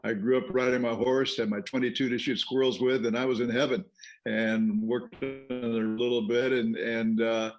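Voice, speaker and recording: Older coach guy telling folksy stories in an interview, mid pitch, easygoing. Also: level tone, moderate pace, soft background music, poor audio. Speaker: retired tennis coach, guest. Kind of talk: casual interview, storytelling.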